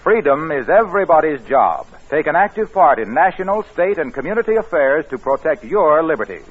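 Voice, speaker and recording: A man announcing in scripted 1940s radio style, delivering sponsor pitches and credits with a husky voice. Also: sing-song, a little fast. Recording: very muffled old radio broadcast.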